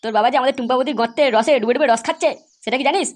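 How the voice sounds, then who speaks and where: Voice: high-pitched, very fast, lightly acted. A performer voicing a cheeky, foul-mouthed young village lout in a comedy cartoon, taunting and crude. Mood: threatening. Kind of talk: exaggerated comedy cartoon voice-over.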